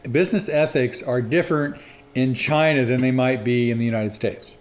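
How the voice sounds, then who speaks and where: Male cohost, mid pitch, chatty, plugs the show. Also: fairly even intonation, moderate pace. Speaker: podcast co-host. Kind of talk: casual chat.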